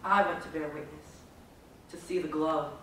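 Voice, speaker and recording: A woman's voice: low-pitched, steady tone, normal speed, close to an everyday voice. A woman playing a solemn, lonely small-town preacher in dramatic stage storytelling. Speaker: actor in a student readers theater group. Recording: very echoey hall, distant mic.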